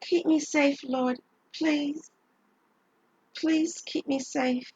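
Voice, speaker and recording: A woman acts out a shaken, puzzled female victim for an audio drama. She sounds soft and hesitant, in a high voice. The recording is slightly dull. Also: steady tone, very slow, full character voice. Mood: quiet pleading.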